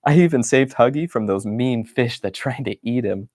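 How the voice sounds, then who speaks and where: A man's voice: medium pitch, moderate pace, darkish tone, no room sound, studio quality, lightly acted. A man doing a bubbly wooden stick. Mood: excited. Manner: bubbly and enthusiastic. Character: cheerful, happy-go-lucky wooden stick, male.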